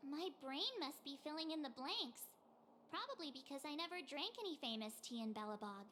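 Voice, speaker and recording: A woman's voice: high voice, lively intonation, fairly quick, bright, forceful tone, a little hiss or hum. A woman voicing a perky, candid teenage girl adventurer in an anime-style game, chatty and a little hesitant. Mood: sheepish.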